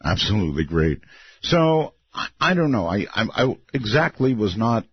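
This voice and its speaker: An older man with a deep voice hosting a late-night radio interview, asking long, meandering questions and laughing often. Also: very animated, moderate pace, a little hiss or hum. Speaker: radio talk show host. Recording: muffled lo-fi radio archive.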